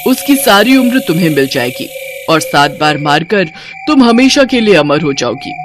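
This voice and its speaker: A woman voicing a stern, commanding witch queen who instructs in a measured, authoritative way. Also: fairly low pitch, very animated, music in the background. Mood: solemn, instructing.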